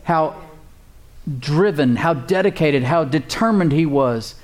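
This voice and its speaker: A man's voice: a little high, expressive, slow. A male pastor preaching a sermon in a conversational, emphatic way, repeating words and chuckling now and then.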